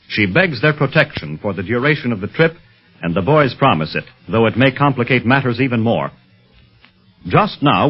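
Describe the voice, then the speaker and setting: A man's voice: mid-range voice, breathy edge. A man narrating an old-time radio adventure serial as its announcer. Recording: muffled vintage radio recording.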